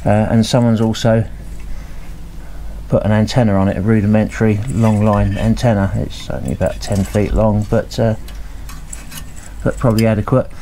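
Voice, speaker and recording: A man with a mellow, dark voice talks through his work in a cluttered home workshop. He thinks aloud, hesitant, with many ums and restarts. A faint hum of room ambience sits behind him. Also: fairly low pitch, level tone, moderate pace. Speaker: radio restorer. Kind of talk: casual hands-on narration.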